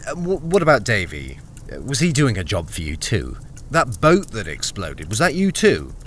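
An actor playing a wary, cornered army deserter working as a miner in a radio crime drama, hesitant and grim. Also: male voice, lowish voice, huge pitch swings, moderate pace, fairly bright tone, slight background noise. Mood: uneasy, doubtful. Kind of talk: radio crime drama acting with first-person narration.

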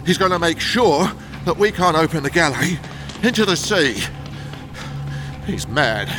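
An actor playing a hard, commanding gang captain in a radio drama, speaking in terse orders. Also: male voice, fairly high pitch, expressive, unhurried, over music. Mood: grim urgency.

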